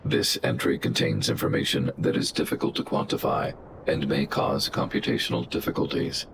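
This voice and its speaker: An actor voicing a huge, protective robot guardian in a video game, speaking in a calm, formal, emotionless and logical way. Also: male voice, very deep voice, vocal fry, background noise. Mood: solemn, measured. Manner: measured, formal, emotionless machine-like delivery. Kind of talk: scripted video game dialogue. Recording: game music behind.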